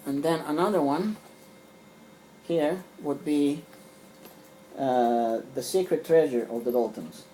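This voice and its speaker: Mellow-voiced man with a Serbian native tongue. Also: on the high side, slow pace, long pauses, a little hiss or hum, poor audio. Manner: rambling, hesitant with frequent fillers and restarts. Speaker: collector reviewing comics. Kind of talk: casual collector show-and-tell. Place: small room at home.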